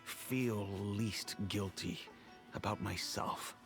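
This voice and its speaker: A wealthy middle-aged father from a video game, earnest and remorseful. His low voice is formal and measured, with clear music playing behind it. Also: steady tone. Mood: regretful, measured. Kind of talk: video game dialogue acting.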